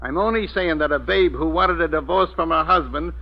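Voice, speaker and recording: An actor playing a sarcastic, slangy tough police inspector in an old-time radio mystery. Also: male voice, a little high, brisk, creaky. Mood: brash, wisecracking. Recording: dull vintage radio recording.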